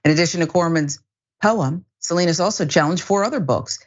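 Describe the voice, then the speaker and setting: A woman's voice: on the low side, fairly quick. American woman news host, slightly muffled audio. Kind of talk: news presenting and panel discussion. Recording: dull, band-limited broadcast audio.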